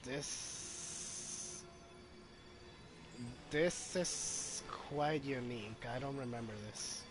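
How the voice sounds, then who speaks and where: Slow, halting man streaming a game over music. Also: mid-range voice. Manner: hesitant, thinking aloud. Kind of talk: casual live gameplay commentary.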